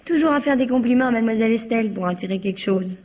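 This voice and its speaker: Husky young housemaid, played by an actress. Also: female voice, on the high side, fast, darkish tone, close to an everyday voice. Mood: frank, gossipy. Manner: colloquial, chatty, naive frankness. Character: cheeky young housemaid, chatty, defensive, working-class, aged twenty-two. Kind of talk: old French radio mystery acting.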